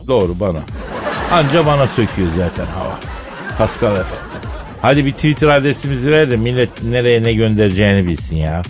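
A man's voice: mid-range voice, lively intonation, brisk, a crowd in the background. A man hosting a comic radio show, bantering and interviewing in a teasing, mock-scolding colloquial way. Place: radio studio. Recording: studio voice over background music.

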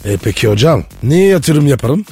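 A man's voice: mid-range voice, sing-song, moderate pace, vocal fry, darkish tone, husky, exaggerated voice. A man playing a cheeky, vain comic sidekick on a radio show, blurting short cocky retorts. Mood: incredulous. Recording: studio voice with music behind.

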